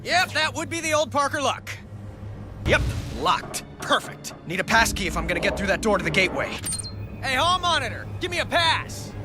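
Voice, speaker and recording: A man's voice: very high voice, very animated, normal speed, over music. An actor voicing a wisecracking young male superhero in a video game, talking in a jokey and casual way. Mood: quipping. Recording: game cutscene with loud score.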